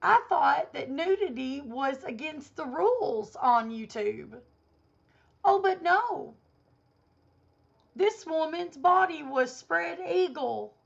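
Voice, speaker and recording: Hoarse, indignant woman ranting. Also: high voice, lively intonation, takes it slowly, dull audio. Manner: indignant, emphatic. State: losing her voice with a cold. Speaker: vlogger. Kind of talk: opinion rant to camera.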